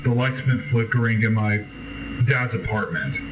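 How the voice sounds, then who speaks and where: A man playing a scared, bitter nineteen-year-old street kid confessing in a horror monologue, halting and grave, with swearing and dramatic pauses. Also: on the low side, lightly acted. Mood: frightened. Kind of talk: found-recording horror monologue acting. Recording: noisy, distant, muffled amateur radio-like tape.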